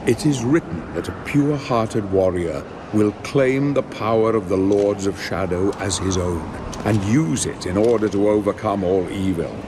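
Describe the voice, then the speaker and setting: A man plays a veteran warrior of a holy order in a dark game drama. His low voice is measured, formal and grave, as if he knows much. Clear ambient noise sits behind him. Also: close to an everyday voice. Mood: solemn, knowing. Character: composed, knowing veteran warrior of a holy brotherhood. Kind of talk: dramatic cinematic game acting.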